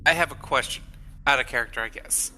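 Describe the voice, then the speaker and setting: A man's voice: mid-range voice, quick pace, pushed and bright. A man playing a tabletop game, talking casually and hesitantly as he thinks things through aloud. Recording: music playing behind.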